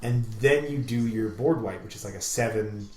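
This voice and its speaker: A man's voice: lowish voice, normal speed, darkish tone. A man co-hosting a podcast, chatting casually in an enthusiastic, rambling way. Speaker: podcast co-host. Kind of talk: casual chat. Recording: roomy, echoey room sound.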